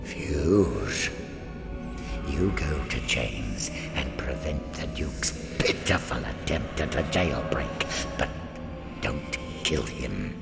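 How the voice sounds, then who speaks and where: An actor playing a cruel tyrant overlord in a video game, speaking in an imperious, contemptuous and menacing way. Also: male voice, animated, exaggerated voice. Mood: commanding. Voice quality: very deep, gravelly, menacing. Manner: imperious, slow, contemptuous, bursts of shouting. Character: cruel tyrant overlord villain, commanding his minions and daughter. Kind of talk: video game villain acting. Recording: roomy echo under loud music.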